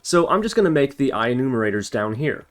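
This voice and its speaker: A man with a medium-pitched voice leads an informal programming tutorial. He talks through his work step by step, thinking aloud as an instructor does while demonstrating on screen. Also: very animated, fast. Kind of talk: informal screen-recorded coding tutorial narration.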